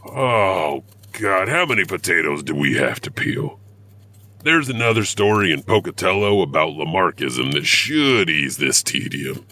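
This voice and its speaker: A very low, forceful male storyteller, played by an actor, narrating spooky legends for a horror audio drama. His delivery swings widely in pitch, with a grumbling janitor's edge. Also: very animated, measured pace, heavily acted.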